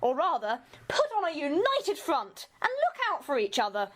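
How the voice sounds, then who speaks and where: Woman acting very high-pitched, sensible peacemaker. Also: wildly swooping pitch, moderate pace. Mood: reasonable. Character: sensible, conciliatory peacemaker woman, easily exasperated. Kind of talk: amateur radio play acting.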